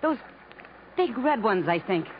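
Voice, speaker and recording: A woman's voice: a little high, big pitch swings, measured pace. A woman acting in a 1950s radio drama, playing a subdued, wistful, resigned woman with a dreamy, restrained way of talking. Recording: muffled, noisy 1950s radio broadcast.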